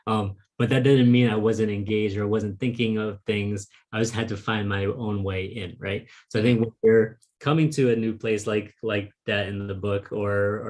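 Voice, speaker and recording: A man's voice: on the low side, darkish tone. A man whose first language is Vietnamese, talking thoughtfully as an interviewer over a video call, with lots of "like" and "um". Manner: thoughtful, with frequent "like" and "um" fillers. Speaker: interviewer, fellow author. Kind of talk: casual conversation.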